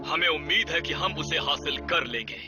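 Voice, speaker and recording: A man's voice: fairly high pitch, normal speed, lightly acted. A man voicing a grave robot medic in a Hindi cartoon dub, explaining things emphatically. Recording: music behind the voice.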